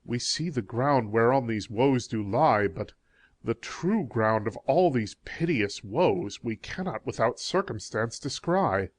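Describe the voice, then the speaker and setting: Man playing commanding night watchman, slow deliberate pace, dry recording, grave Shakespearean drama. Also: medium pitch, expressive, no echo. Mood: grave dismay. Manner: deliberate, authoritative delivery. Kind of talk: theatrical Shakespearean audiobook acting.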